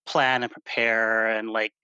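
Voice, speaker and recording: A man's voice: on the low side, little pitch movement, measured pace, pushed and bright, clean tone, lo-fi sound. A man co-hosting a casual podcast, asking questions and cracking jokes. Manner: joking, teasing interjections. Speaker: podcast co-host. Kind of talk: casual chat, interviewer.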